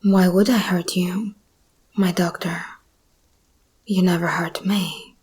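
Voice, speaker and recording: Casting: female actor as possessive, tender monster woman for ASMR roleplay; breathy whisper, predatory intensity, unhurried delivery. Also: on the low side, fairly even intonation, darkish tone, heavily acted. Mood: tender, reassuring. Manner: slow, intimate, intense.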